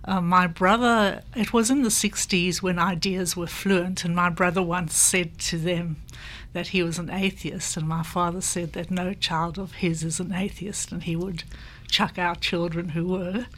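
An older woman, recounting her personal history in a measured, reflective way. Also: lowish voice, fairly even intonation, a bit bright, low-quality recording. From South Africa. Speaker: panel guest. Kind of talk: personal recollection in conversation.

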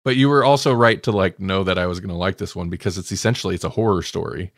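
A man co-hosting a casual review podcast, animated as he talks and voicing reactions. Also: low voice, quick pace, no room sound, clean studio sound. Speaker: podcast co-host.

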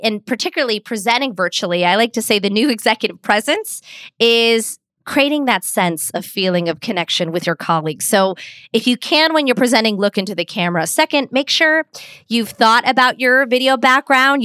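A woman's voice: on the high side, a bit bright, no room sound, studio quality. Methodical woman giving numbered advice. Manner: methodical, lays out numbered points. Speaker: communications expert guest. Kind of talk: interview answers, structured advice.

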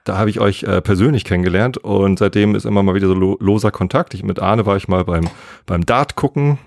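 A man, a podcast guest chatting in a relaxed, rambling way full of fillers. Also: lowish voice, a little fast, gravelly. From Hamburg area, northern Germany. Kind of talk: casual podcast chat.

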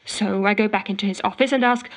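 A woman's voice: medium pitch, fairly even intonation, fast, natural voice. An actress playing an exasperated, frantic office worker, telling her woes melodramatically in an audio drama voicemail monologue. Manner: melodramatic, indignant storytelling with sarcastic quoting. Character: exasperated, frantic office woman ranting to a colleague.

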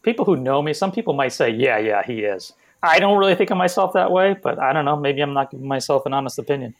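A man co-hosting a podcast in casual chat, low-key, hesitant and good-humored. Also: expressive, fast. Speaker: podcast co-host.

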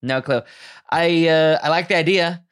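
A woman co-hosting a podcast in casual chat, slightly tipsy from drinking, thinking aloud in quick bursts with restarts. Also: low-pitched, clean tone. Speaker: podcast co-host.

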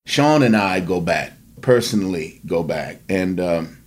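A man's voice: low-pitched, lively intonation, measured pace, a little mellow. An older man, a veteran stage actor, talking reflectively in an interview.

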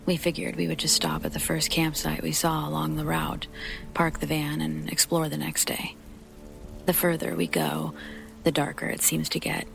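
A woman reading a first-person horror story as scripted narration, in a breathy, near-whispered, creaky voice, with music and rain behind her. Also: deep voice, little pitch movement, moderate pace.

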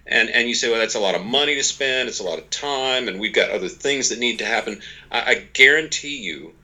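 A man's voice: mid-range voice, expressive, a little fast, sharp tone. A man in his forties speaking as a podcast guest, explaining ideas conversationally in a folksy, emphatic way with repetition and quoted asides. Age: 40s. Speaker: podcast guest, author and organization leader.

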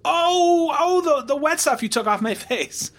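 A woman's voice: high voice, lively intonation, a little slow. A woman playing a work-shy, anxious space crew member in an improvised sci-fi comedy, stammering and evasive. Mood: eager relief.

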